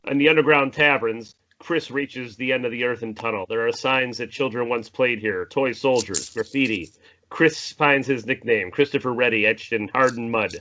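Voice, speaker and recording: A man's voice: medium pitch, normal speed, bright, forceful tone, lo-fi sound. A man narrating a screenplay at an informal table read, with a theatrical, playful delivery. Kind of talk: dramatic scripted narration, informal with asides.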